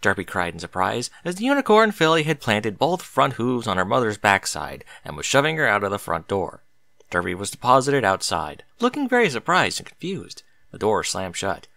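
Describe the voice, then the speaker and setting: A man reading a story aloud as narrator, in an expressive scripted narration style. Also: lowish voice, normal speed, gravelly, dry recording.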